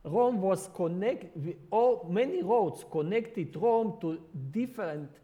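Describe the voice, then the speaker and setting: A man preaching in careful but halting English, his showing in his phrasing. He often restarts words and reaches for the right expression. His voice sits a touch higher than most men's. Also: expressive, warm tone. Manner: halting non-native English with many restarts. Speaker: ministry worker. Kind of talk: sermon.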